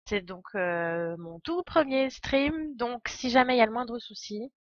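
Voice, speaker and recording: A woman's voice: on the high side, expressive, a little slow, no room sound, dull audio. A woman in her twenties with a stuffy cold, chatting casually and a little hesitantly as she hosts a live game stream. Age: 20s. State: congested with a cold.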